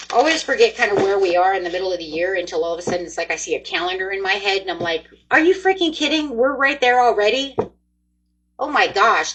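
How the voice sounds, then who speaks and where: A woman's voice: medium pitch, background noise. A woman in her forties giving a chatty, upbeat tarot card reading, speaking straight to her viewers. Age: 40s. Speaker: tarot reader.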